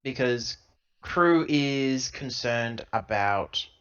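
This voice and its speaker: A man playing a tabletop role-playing game, improvising dialogue and narration in a halting, self-correcting, emphatic way on a lo-fi recording. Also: medium pitch, fairly bright tone, clear, resonant tone. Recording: dull lo-fi laptop recording.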